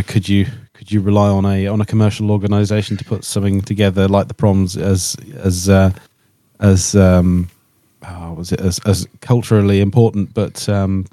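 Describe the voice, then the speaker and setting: A man chatting casually on a podcast in a hesitant, halting way, often repeating himself. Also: fairly low pitch, mellow, no room sound, studio quality. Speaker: podcast co-host.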